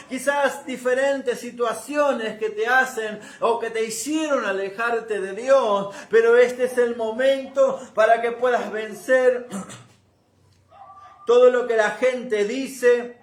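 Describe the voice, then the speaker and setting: A man's voice: extremely high pitch, steady tone, takes it slowly. A man preaching a sermon, speaking emphatically and repeating phrases to drive points home. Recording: roomy, echoing room sound.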